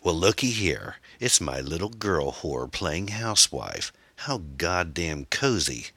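A man's voice: deep voice, very animated, slow, pushed and bright, husky, no room sound, heavily acted. A man voicing a menacing, jealous gunman, a foul-mouthed ex-boyfriend who taunts and threatens in a folksy way. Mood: taunting.

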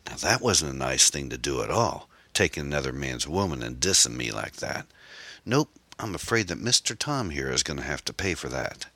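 A man's voice: a bass voice, sharp tone, dry recording, full character voice. A man voicing a menacing, jealous gunman, a foul-mouthed ex-boyfriend who taunts and threatens in a folksy way.

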